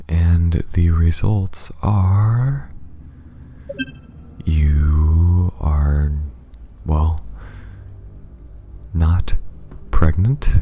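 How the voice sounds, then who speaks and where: Very deep, slow-talking male alien, acted by a man. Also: noisy background, full character voice. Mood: awkward, dry. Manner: halting, soft-spoken, often dropping into near whisper. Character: peculiar, calm, reassuring male alien spaceship pilot with a tail. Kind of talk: ASMR roleplay addressed to the listener.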